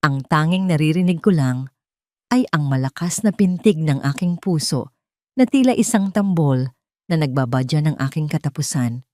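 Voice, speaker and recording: A woman with a fairly low voice narrates a dramatic story in the first person. Her delivery is solemn, measured and emotive. The sound is dry, with very little room around it. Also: animated, dark tone, no echo.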